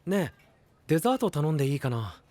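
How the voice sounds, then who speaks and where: An actor playing a laid-back, friendly young knight in an anime voice drama, with a soft, casual manner. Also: male voice, a little high, very animated, quick pace, dry recording. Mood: easygoing.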